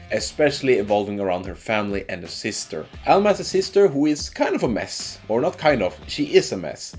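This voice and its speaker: A male game reviewer talks casually with very animated pitch movement. His voice sits a little low, and he gives his opinions in an informal, emphatic way. Faint music plays underneath. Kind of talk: casual opinion commentary, ranking characters. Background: background music.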